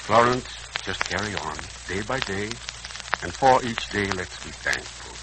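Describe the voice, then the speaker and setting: An older man playing a kindly, philosophical uncle in a 1940s radio serial, speaking in a measured, folksy, moralizing way. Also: on the low side, lively intonation. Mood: gentle, consoling. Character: kindly, philosophical elderly uncle, gently reassuring. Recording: crackly, hissing 1940s radio recording.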